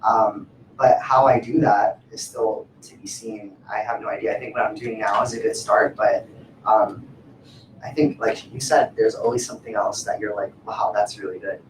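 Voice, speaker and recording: A man's voice: on the low side, steady tone, normal speed. A man in his twenties on a discussion panel, who talks in a hesitant way with many fillers and restarts. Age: 20s. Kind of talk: casual panel talk. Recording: echoey room from far off, faint noise.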